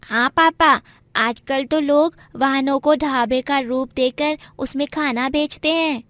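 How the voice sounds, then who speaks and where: Woman acting cheerful young child, high-pitched, slow, earnest, childlike, moral-story character. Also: fairly bright tone, dry recording. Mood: encouraging. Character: cheerful, helpful young child of a poor family.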